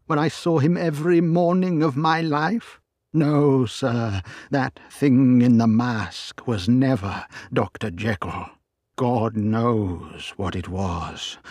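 A man's voice: lowish voice, husky, no room sound, studio quality, full character voice. A man voicing a loyal, frightened old male butler, pleading and earnest in a storybook reading.

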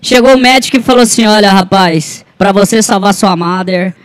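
A man's voice: high voice, brisk, clear, resonant tone. A Brazilian man in his twenties with a Brazilian Portuguese accent, a blunt, crude, joking co-host in a casual chat. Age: 20s. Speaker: podcast co-host.

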